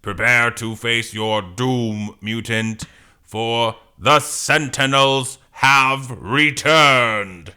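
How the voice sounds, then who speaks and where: A man voicing a giant emotionless killer robot from a comic, with slow, stiff mechanical delivery. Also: medium pitch, steady tone, sharp tone, clean tone, full character voice. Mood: cold menace.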